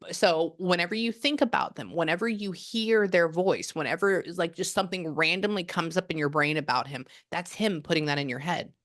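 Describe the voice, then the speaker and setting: Low-pitched woman, rambling psychic reading, casual talk. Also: fairly quick, hardly any pauses. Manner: chatty, rambling, frequent restarts and "like". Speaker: psychic medium. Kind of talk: casual reading on a video call.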